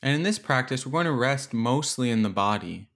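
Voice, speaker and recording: A man leading a guided meditation, giving gentle, unhurried instructions with long pauses between phrases. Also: medium pitch, expressive.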